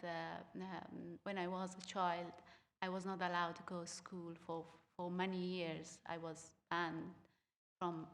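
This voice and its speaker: A young woman from Afghanistan whose first language is Uzbek, speaking haltingly with many fillers as a students' union equity officer on a theatre panel. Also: on the low side, creaky, long reverb tail. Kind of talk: panel talk on stage. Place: theatre stage.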